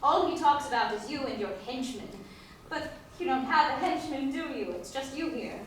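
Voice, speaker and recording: A woman's voice: sits high, animated, normal speed. A woman playing a well-bred, breezy young heiress and ballerina in a stage musical comedy, politely arch. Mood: earnest, puzzled. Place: live staged reading before audience. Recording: echoey stage, distant mic, faint noise.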